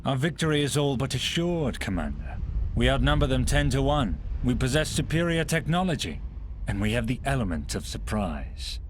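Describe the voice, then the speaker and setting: A man's voice: medium pitch, sing-song, normal speed, a little hiss or hum, close to an everyday voice. Grand, dramatic military general played by a man. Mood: assured. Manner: grand, formal, authoritative. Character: commanding older male animal general, coldly self-assured villain. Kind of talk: dramatic video game acting.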